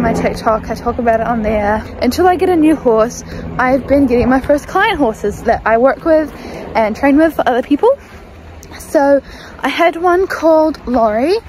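Teen girl vlogger, high voice, upbeat and chatty, phone-mic audio outdoors with noticeable background noise. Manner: chatty, upbeat, talks to camera while walking. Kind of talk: casual vlog chat. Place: outdoors at a horse farm. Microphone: phone at arm's length.

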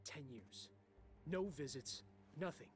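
A man's voice: fairly high pitch, lively intonation, takes it slowly, slight background noise. A young man playing an intense, emotional, resentful ninja son in a video game. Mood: bitter, angry. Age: young adult. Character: hot-headed, wounded young male ninja warrior, resentful son. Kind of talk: dramatic video game acting.

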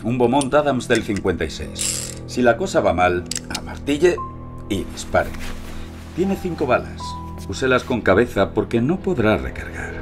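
An actor playing a composed, methodical investigator, telling and briefing in a measured, dramatic audio-drama style. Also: male voice, medium pitch, sing-song, music in the background, natural voice. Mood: instructive. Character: composed, methodical male investigator narrating his operation.

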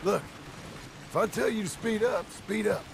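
Gruff American western dad, curt, evasive, creaky voice, video game dialogue, noisy outdoor background. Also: male voice, sits high, moderate pace. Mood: stern. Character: gruff, evasive frontier father, weary and stern. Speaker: game character, father. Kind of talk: naturalistic video game acting. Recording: game dialogue over wagon and outdoor noise.

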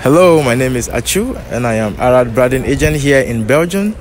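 Young Nigerian man pitching business outdoors. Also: animated, fairly quick, soft background music. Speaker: business agent in Belgium. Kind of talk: presenting to camera. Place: outdoors by an office building. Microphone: handheld mic.

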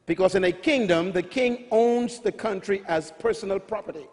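A man's voice: high voice, normal speed, darkish tone. Middle-aged Bahamian man, emphatic preacher, handheld mic in a big reverberant arena. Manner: emphatic, repetitive teaching with rising calls. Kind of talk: sermon teaching. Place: large arena stage before a big audience. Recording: large hall with long reverb.

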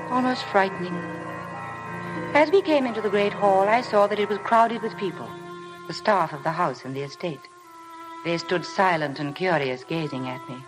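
A woman's voice: mid-range voice, natural voice, low-quality recording. Woman acting a shy young lady from an old 1950s radio play, hesitant and polite, music behind. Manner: hesitant, polite, self-effacing. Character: timid young woman, shy, earnest, devoted bride. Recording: vintage radio broadcast with music.

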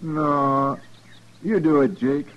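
An actor playing a watchful cowhand in a lynching party, in a 1950s western radio drama. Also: male voice, a little high, fairly even intonation, slow. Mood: alert, uneasy. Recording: muffled 1950s radio recording.

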